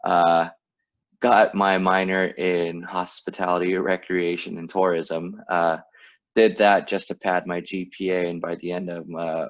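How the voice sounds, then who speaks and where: Male co-host, late 20s, deep voice, relaxed storyteller with lots of "uh"s, muffled podcast audio. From Vietnam, raised in California. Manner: laid-back, laughs often, lots of fillers. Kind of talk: casual chat. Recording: dull lo-fi sound.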